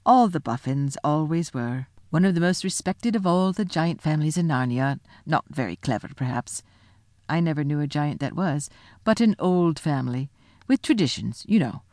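A woman voicing a gentle male faun who talks low and soft in a confiding way. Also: animated, moderate pace, dry recording, clean studio sound.

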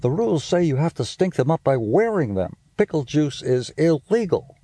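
A man's voice: a little high, very animated, normal speed, warm tone, no echo, pitched above the performer's own voice. A man voicing a stubborn, indignant little boy with a raised, boyish voice and an earnest manner.

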